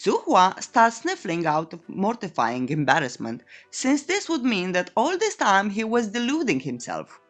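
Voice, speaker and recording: Animated woman narrating a playful recap. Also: medium pitch, moderate pace, few pauses. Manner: tongue-in-cheek, euphemistic, coy. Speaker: narrator of comic recap videos. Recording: voice-over with background music. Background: music.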